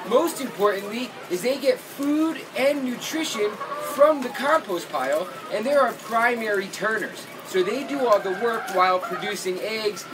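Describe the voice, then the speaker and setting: High-voiced young man touring a farm. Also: normal speed, hardly any pauses, background noise. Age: young adult. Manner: steady, methodical explaining. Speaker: farmer giving a tour. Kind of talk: explanatory farm tour. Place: outdoors on a farm among gardens and animal pens. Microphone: camera at a few meters.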